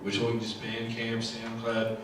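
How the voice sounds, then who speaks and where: A man's voice: medium pitch, monotone, unhurried. Very roomy distant sound, faint noise, man, casual panel moderator. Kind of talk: casual questioning. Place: live workshop room. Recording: very echoey, distant mic, faint room noise.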